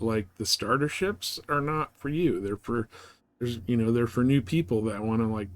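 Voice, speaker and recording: A man chatting casually and thinking aloud while playing on a gaming livestream, in a rambling, colloquial way. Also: lowish voice. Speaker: live streamer playing a space game.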